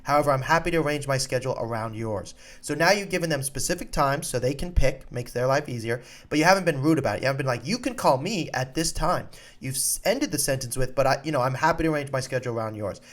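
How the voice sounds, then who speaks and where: A man's voice: mid-range voice, animated, fast, hardly any pauses, fairly bright tone. A man with a mid-range voice talks like a coach hosting an advice show. His delivery is informal and direct. He puts emphasis on important points and repeats them so they land. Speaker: college soccer recruiting coach and podcast host. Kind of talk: informal advice talk to students and parents.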